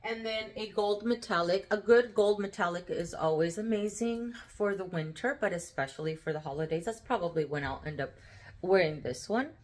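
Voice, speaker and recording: A woman's voice: medium pitch, normal speed, a little mellow. A woman vlogger chatting casually about her nail polishes, with a nasal, congested voice, rambling upbeat with frequent ums and little laughs. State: full after eating. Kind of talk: casual chatty product talk.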